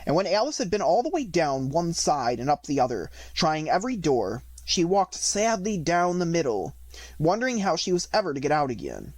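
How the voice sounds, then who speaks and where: Man, audiobook narrator, fairly high voice, very lively intonation, dry close sound. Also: moderate pace, dry recording. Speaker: audiobook reader. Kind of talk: expressive scripted storybook narration.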